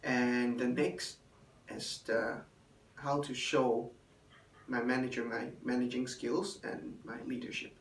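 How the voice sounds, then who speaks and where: A young man, a hotel intern and native Chinese speaker, giving a halting spoken evaluation in English with many fillers. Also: mid-range voice, flat delivery. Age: young adult. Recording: roomy, distant, faint room noise.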